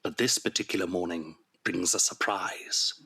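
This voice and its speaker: A man's voice: deep voice, moderate pace, gravelly, background noise. A man giving scripted dramatic narration as the narrator of an audio drama.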